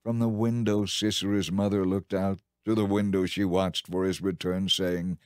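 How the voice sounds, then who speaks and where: A man reading as an audiobook narrator in a dramatized, solemn and measured scripted Bible narration. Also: deep voice, little pitch movement, darkish tone, clear, resonant tone, no echo, studio quality.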